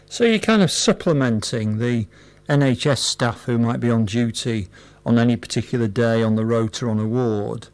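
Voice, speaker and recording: A man's voice: medium pitch, normal speed, darkish tone, clean tone, no echo. Male interviewer, dry studio sound. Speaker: radio interviewer. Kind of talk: conversational radio interview.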